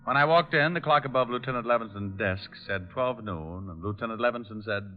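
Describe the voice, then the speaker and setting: An actor playing a cool, flip, deadpan private detective in an old-time radio detective show. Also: male voice, mid-range voice, brisk, noisy background, natural voice. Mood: hardboiled narration. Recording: muffled old radio recording, faint music.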